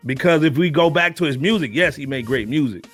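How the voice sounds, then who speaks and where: A man's voice: fairly high pitch, fairly quick, a bit bright, faint music behind. A man co-hosting a casual hip hop discussion, talking in a blunt, challenging, punchy way. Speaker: podcast co-host.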